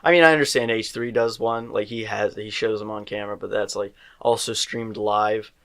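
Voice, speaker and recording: A man's voice: lowish voice, steady tone, normal speed, fairly bright tone. A teenage man hosting a casual podcast, rambling with lots of fillers and restarts. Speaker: podcast co-host.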